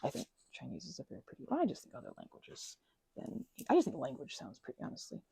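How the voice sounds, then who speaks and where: A young woman chatting in a rambling, casual way while she works on a craft project, sometimes dropping into soft whispers. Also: deep voice, huge pitch swings, fast talker, vocal fry, slightly rough, no echo. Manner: chatty, rambling, drifts between soft whispers and louder asides. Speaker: ASMR creator.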